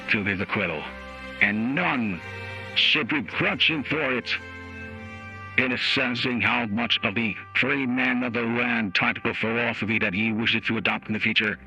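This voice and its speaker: A man voicing a stern, formal judge in a measured dramatic reading, through a voice changer, with music behind. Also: lowish voice, bright, forceful tone, rough recording. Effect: voice changer app. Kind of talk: dramatic reading of a court opinion. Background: music added by the app.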